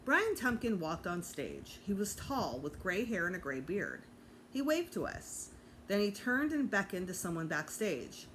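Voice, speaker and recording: A woman, a teacher, reading a children's book aloud to students in an animated storytelling style. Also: medium pitch, a little slow, low-quality recording. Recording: clear background noise.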